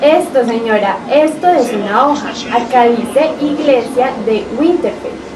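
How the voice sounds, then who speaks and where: A woman's voice: fairly high pitch, measured pace, background noise, lightly acted. A woman playing a polite, puzzled young traveller in an amateur radio drama, narrating her search theatrically. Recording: echoey, distant, loud music behind.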